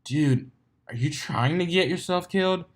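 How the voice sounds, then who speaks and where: A man's voice: fairly high pitch, measured pace, pitched above the performer's own voice, close to an everyday voice. Man voicing a cocky, casual pegasus stallion. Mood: teasing. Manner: casual, teasing. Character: cocky young adult male pegasus stunt flyer.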